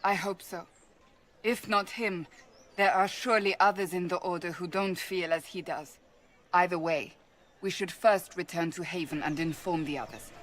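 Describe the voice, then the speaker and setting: A woman's voice: on the low side, fairly even intonation, normal speed, natural voice. A woman playing a stern, blunt warrior. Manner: stern, direct.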